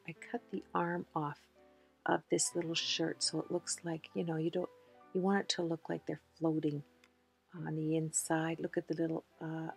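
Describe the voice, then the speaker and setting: A woman's voice: on the low side, vocal fry, husky. A woman narrating her own craft tutorial in a chatty, enthusiastic way, with many fillers. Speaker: craft video host. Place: indoors at a craft table.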